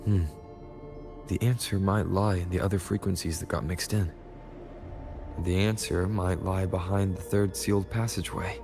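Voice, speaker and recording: A man playing a calm, considerate young adventurer in a video game, speaking in a measured way. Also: low voice, over music. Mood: calm, thoughtful.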